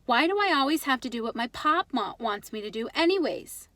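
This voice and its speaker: A stubborn, exasperated young storybook boy, voiced by a woman, high and swooping in pitch. He complains insistently, in a dry recording. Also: sing-song, a little fast, pushed and bright, dry recording, heavily acted. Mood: exasperated complaining. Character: frustrated, stubborn young boy narrating his own life. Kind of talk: children's storybook read-aloud.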